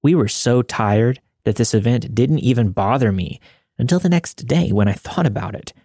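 A man reading a listener's story as scripted podcast narration, in a measured, matter-of-fact way. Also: medium pitch, animated, mellow, breathy edge, no echo, clean studio sound.

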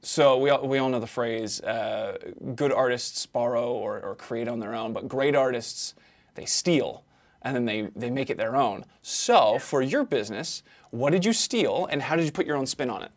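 A man's voice: medium pitch, moderate pace. A man hosting a podcast interview, asking questions in an upbeat, friendly way. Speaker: podcast host. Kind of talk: interview host.

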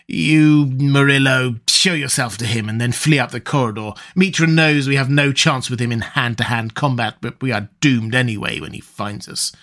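A man's voice: medium pitch, fairly bright tone, close to an everyday voice. A man voicing a scheming middle-aged priest who is gripped by fear, with a raised, theatrical, urgent delivery.

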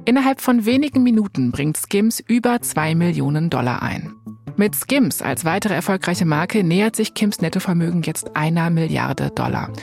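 A woman's voice: fairly low pitch, lively intonation, moderate pace, gravelly, a little mellow. Wry young woman narrating over music. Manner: colloquial, wry, sprinkles English slang into German. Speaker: podcast host. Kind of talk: scripted storytelling narration with casual asides. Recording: music bed behind the voice.

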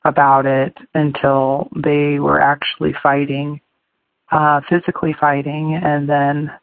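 A speaker giving a plain, steady personal testimony. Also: mid-range voice, creaky, no echo. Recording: dry, tinny phone-like recording.

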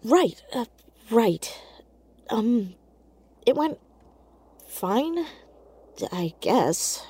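An actress playing an earnest, caring teenage girl in an audio drama, speaking in a hesitant, halting, naturalistic way. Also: fairly high pitch, big pitch swings, mellow, slightly rough, no room sound, exaggerated voice. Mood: puzzled, guarded. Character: earnest, awkward, caring teenage girl amateur sleuth, hiding in fear.

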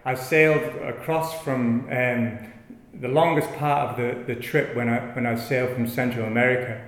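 A man with a northern English accent, a guest telling his life story in a halting, rambling way with many restarts. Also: mid-range voice, steady tone. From north of England. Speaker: yoga studio owner, guest. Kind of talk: casual interview, rambling storytelling. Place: yoga studio. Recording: echoey studio room, long reverb.

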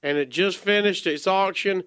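High, bright man telling a jokey story. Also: normal speed, smooth, no room sound, clean studio sound. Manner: chatty, jokey. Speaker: radio show co-host. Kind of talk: casual chat, storytelling.

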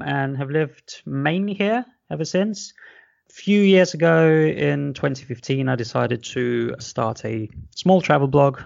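A man's voice: mid-range voice, creaky, dry recording. A man from Russia, a podcast guest and travel blogger, chatting enthusiastically over a video call and explaining things at length. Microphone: video call microphone. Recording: dull, lo-fi call audio.

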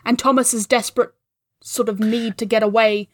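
A woman gives casual film analysis as a podcast guest. Her voice is a little higher than average and sounds dry, with no room echo. She speaks thoughtfully and haltingly, feeling for the right words. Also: fairly even intonation.

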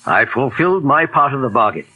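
An actor playing a calm, polite, inquisitive gentleman detective in a 1940s radio drama. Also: mid-range voice, a little fast, slightly rough, narrow, tinny audio. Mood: polite, measured. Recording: old 1940s radio recording.

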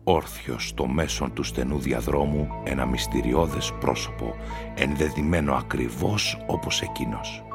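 A man reading a literary story as narrator, in a dramatic, scripted storytelling style. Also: a bass voice. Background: music.

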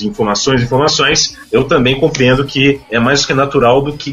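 A man's voice: mid-range voice, bright, forceful tone. A man in his thirties from Minas Gerais, a sports announcer answering interview questions with humor. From Minas Gerais, Brazil. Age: 30s. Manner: humorous, talkative storyteller. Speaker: sports broadcaster guest.

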